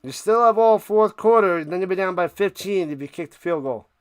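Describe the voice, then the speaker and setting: High-voiced man calling a game casually. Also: lively intonation, a little fast, clear, resonant tone, no echo. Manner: informal, conversational, repeats phrases. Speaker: live sports streamer, host. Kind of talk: casual play-by-play watch party commentary.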